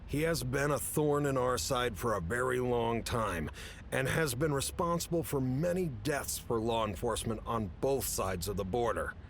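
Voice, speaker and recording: A man playing an authoritative, businesslike chief who briefs agents in a measured way in an audio drama. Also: natural voice. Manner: authoritative, measured, matter-of-fact. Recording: rumbly background noise.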